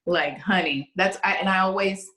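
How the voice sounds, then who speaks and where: A woman in her late thirties, chatting casually as a podcast guest, telling stories in an expressive, animated way. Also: medium pitch, normal speed, roomy sound. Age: 30s. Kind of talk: casual chat.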